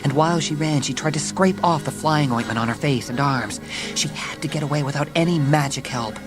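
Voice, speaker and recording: A man's voice: a little high, a little fast. A man reading scripted, dramatic narration for a children's audiobook. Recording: music behind the narration.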